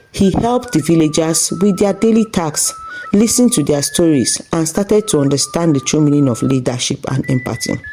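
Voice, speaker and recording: A woman's voice: sits low, warm tone, rough recording. A woman storyteller reading a scripted folktale narration.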